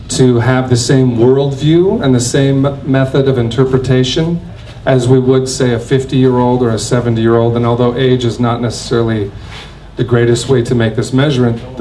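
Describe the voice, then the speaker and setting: A man in his forties speaking as a panel guest in a crowded covered pavilion, measured and reflective, into a stand mic. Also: mid-range voice, warm tone, slight background noise, rough recording. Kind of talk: panel discussion.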